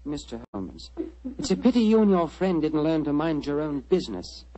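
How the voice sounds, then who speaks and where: A man's voice: fairly high pitch, very animated, normal speed, dark tone, slight background noise, rough recording. An actor playing a cold, ruthless henchman in an old radio drama, smug and threatening. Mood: ruthless, mocking. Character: cold, ruthless male henchman, coolly mocking. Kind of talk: 1940s radio drama acting.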